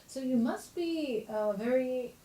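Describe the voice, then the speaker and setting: A woman hosting a radio show in two languages, talking rapidly and switching between them. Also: high voice, dark tone, reverberant, far from the mic, slight background noise, poor audio. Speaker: radio host.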